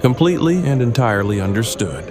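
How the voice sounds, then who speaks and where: A man's voice: mid-range voice, very animated, quick pace, natural voice. A man playing an earnest, wordy survivor in an audio drama, speaking in stiffly formal, precise phrasing. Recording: crowd chatter behind. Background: party crowd chatter.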